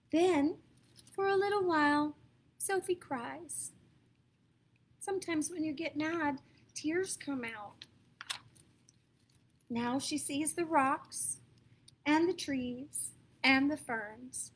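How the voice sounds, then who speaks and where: An American woman reading picture books aloud to children in an expressive, dramatic way, stepping aside to talk to her listeners. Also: sits high, slow pace, pauses often, a little hiss or hum. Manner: expressive, dramatic emphasis, asks listeners questions. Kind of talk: animated read-aloud storytelling for young children, with asides.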